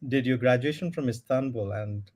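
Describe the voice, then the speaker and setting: A man hosts an interview show, greeting his audience formally and putting questions to his guest. His voice sits at an ordinary middle pitch. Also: a little fast, a little mellow. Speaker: host. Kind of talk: interview hosting.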